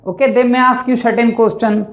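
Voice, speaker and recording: A man's voice: extremely high pitch, little pitch movement, a little fast. A man with an Indian English accent, teaching exam tips and often tagging lines with "right?" and "actually". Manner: repetitive, keeps adding "right?" and "actually". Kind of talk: spoken lesson on exam tips. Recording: tinny, phone-like sound.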